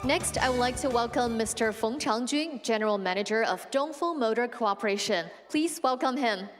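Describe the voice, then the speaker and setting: A woman acts as master of ceremonies, making formal stage announcements. Her voice sits a little high, and loud music plays behind her. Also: normal speed. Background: loud event music.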